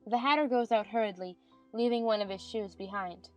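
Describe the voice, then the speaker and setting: A woman's voice: mid-range voice, a little fast, darkish tone. Woman narrating over faint music. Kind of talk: scripted audiobook narration.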